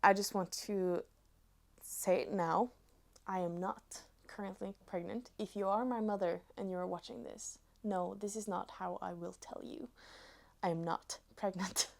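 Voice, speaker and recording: A woman in her twenties from Norway with a Norwegian accent, chatting casually to viewers as a knitting vlogger, pausing between short phrases. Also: medium pitch, fairly even intonation, creaky, a little mellow. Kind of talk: casual chat to camera.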